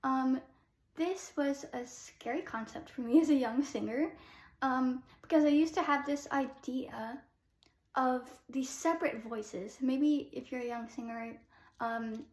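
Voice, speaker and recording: A young adult woman, a classical soprano, giving a relaxed vocal lesson in a chatty way with many ums. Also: high-pitched, reverberant.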